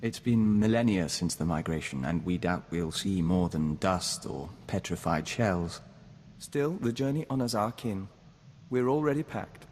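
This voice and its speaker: An actor voicing a calm, formal young man joined to an insect hive mind in a video game, speaking in a measured way as "we". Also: low-pitched, long reverb tail, a little hiss or hum. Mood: solemn. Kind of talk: scripted video game voice acting.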